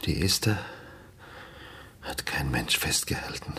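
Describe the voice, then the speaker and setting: An actor playing a grieving, apologetic father in a radio drama, speaking haltingly and hushed, often slipping into whispers. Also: extremely low pitch, lively intonation, slight background noise, heavily acted. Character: grieving, apologetic father of a dead teenage daughter. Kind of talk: naturalistic radio drama acting.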